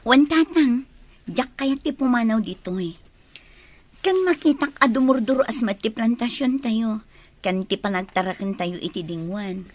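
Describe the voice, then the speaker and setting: A woman's voice: a little high, expressive, normal speed, darkish tone. A woman with a Filipino accent playing a dutiful, devoted young farmer's daughter in a radio drama. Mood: dutiful, caring.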